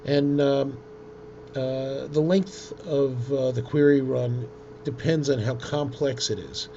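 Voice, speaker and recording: A man presenting an informal software tutorial in a halting, hesitant way, with frequent ums. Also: mid-range voice, fairly even intonation. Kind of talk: informal screen-share software demonstration. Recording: dull lo-fi audio with loud noise.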